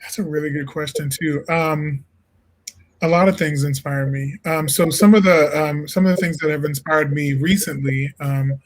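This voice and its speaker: Relaxed, higher-voiced male jazz singer. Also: normal speed, poor audio. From Illinois, USA. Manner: relaxed, thoughtful, many "you know"s. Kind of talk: casual interview talk.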